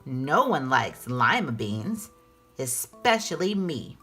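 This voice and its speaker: A woman's voice: rumbling low, very animated, slow pace, a bit bright, husky, faint music behind, full character voice. A woman voicing an anxious young schoolgirl with a dramatic, plaintive manner in a children's storybook. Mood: disgusted, guarded. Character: anxious young schoolgirl, self-conscious and expressive.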